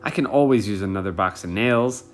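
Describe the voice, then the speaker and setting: A man's voice: on the low side, brisk, faint music behind, close to an everyday voice. A man voicing a kindly, effusive, easily delighted dad in a children's storybook. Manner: exclaiming, effusive.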